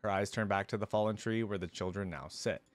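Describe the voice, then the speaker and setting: A man's voice: fairly low pitch, steady tone, quick pace, dry recording. A man hosting a reaction video, moving between informal, enthusiastic fan commentary and reading a story aloud.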